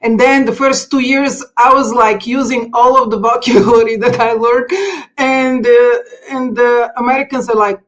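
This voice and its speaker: High-voiced woman. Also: steady tone, normal speed. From Bulgaria. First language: Bulgarian. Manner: eager, talks in long run-on explanations. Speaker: podcast guest, explorer. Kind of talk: casual interview chat. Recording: dull lo-fi sound.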